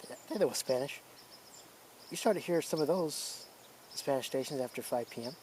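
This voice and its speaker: A man's voice: medium pitch, lively intonation, moderate pace, a little mellow, slight background noise. A man presenting as a radio hobbyist, giving casual hands-on demonstration commentary.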